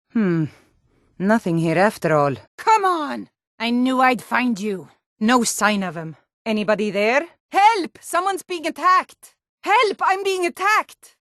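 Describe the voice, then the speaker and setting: A woman's voice: sits high, a bit bright, no echo, studio quality, heavily acted. An actress playing a stern, suspicious, hot-tempered Nord townswoman in a fantasy video game, speaking in a blunt, challenging way. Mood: panicked. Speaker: game character voice.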